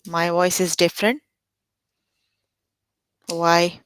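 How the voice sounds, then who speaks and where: A woman's voice: fairly low pitch, flat delivery, takes it slowly, a bit bright, clean tone, dry recording. A young adult woman teaching a live online math lesson over a computer mic, stopping often to check that students follow. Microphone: computer mic on an online call.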